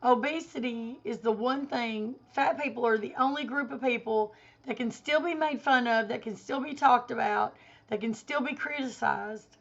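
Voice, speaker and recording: Hoarse, indignant woman ranting. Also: a little high, normal speed, few pauses, highs cut off. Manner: indignant, emphatic. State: losing her voice with a cold. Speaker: vlogger. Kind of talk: opinion rant to camera.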